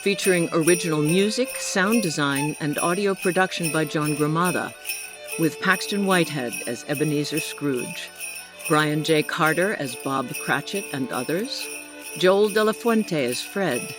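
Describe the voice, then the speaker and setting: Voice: medium pitch, unhurried. Formal radio announcer over jingling music. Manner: formal, orderly listing. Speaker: credits announcer. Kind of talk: scripted radio credits reading. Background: jingling holiday music.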